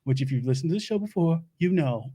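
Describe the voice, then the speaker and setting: A man's voice: a little high, lively intonation, quick pace, warm tone, husky. A man playing a bumbling self-proclaimed doomsday-prep lecturer who hosts a comedy show, with a put-on Louisiana accent, rambling and stumbling over his words. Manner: rambling, stumbling, laughs at own jokes. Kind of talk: improvised comic monologue. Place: home studio.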